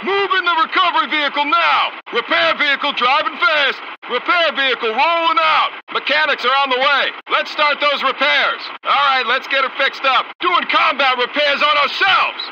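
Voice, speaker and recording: A dutiful soldier in an armored vehicle, with a high, very sharp and forceful voice. He gives clipped war-game callouts over a dull radio. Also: normal speed, creaky, few pauses, slight background noise, exaggerated voice. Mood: urgent, shouting. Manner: clipped, forceful military delivery. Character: male armored vehicle crewman, dutiful, eager, urgent soldier. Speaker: game unit voice actor.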